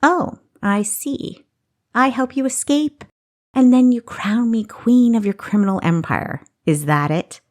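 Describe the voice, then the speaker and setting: A woman playing a sharp-tongued, sarcastic young female adventurer in a fantasy story, full of scorn. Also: on the high side, measured pace, voice pushed up, natural voice. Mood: mocking.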